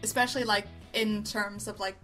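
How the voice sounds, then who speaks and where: A woman's voice: mid-range voice, steady tone, moderate pace, a bit bright, soft background music, rough recording. A woman co-hosting an amateur review show, chatting casually and unscripted in a giggly, tentative, self-deprecating way.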